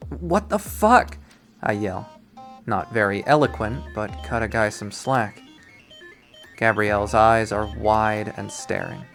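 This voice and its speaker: A man's voice: on the low side, a bit bright. A man reading a visual novel aloud as dramatic first-person story narration.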